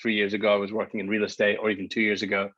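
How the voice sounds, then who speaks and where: A man's voice: on the low side, completely flat delivery, rapid-fire, fairly bright tone, no room sound, lo-fi sound. A Scottish man in his thirties, a startup founder talking through his career in a hesitant, self-deprecating way with lots of ums. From Scotland. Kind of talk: casual interview talk.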